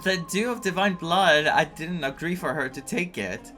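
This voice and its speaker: A woman's voice: lowish voice, normal speed, a bit bright. A woman giving casual playthrough commentary as a gaming streamer, with amused, wry asides. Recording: faint game music behind voice.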